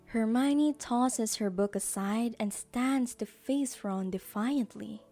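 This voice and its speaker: A woman's voice: a little high, slow, dark tone, faint music behind. A woman giving scripted narration for an audio drama as its storyteller.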